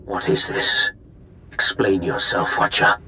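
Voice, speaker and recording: An actor playing a vengeful, menacing Sith lord in a video game, with a gravelly, commanding, threatening delivery. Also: male voice, deep voice, takes it slowly, pushed and bright, a little hiss or hum, heavily acted. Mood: cold, suspicious.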